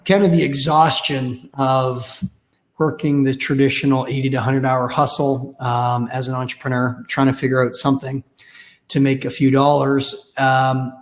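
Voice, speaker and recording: A Canadian man in his thirties with a raspy voice from a cold, telling his story candidly and wryly as a podcast guest. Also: mid-range voice. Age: 30s. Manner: candid, wry. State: has a cold. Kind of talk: casual interview storytelling.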